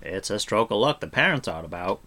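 A man's voice: lowish voice, animated, brisk, bright, forceful tone. Guy doing a wordy kind-hearted bard from a storybook, kinda fussy and cautious, rambles a bit. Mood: wary.

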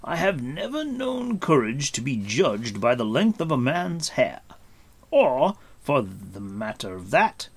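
Guy doing a wise old-school warrior man from a storybook, commanding n formal, pitched up, slow and deliberate. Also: sing-song, creaky, husky, heavily acted. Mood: amused reproof.

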